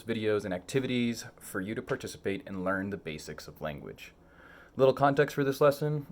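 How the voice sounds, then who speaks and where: A young man from Mexico whose first language is Spanish, earnestly introducing an online lesson as its teacher, with many small fillers and self-corrections. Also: on the low side.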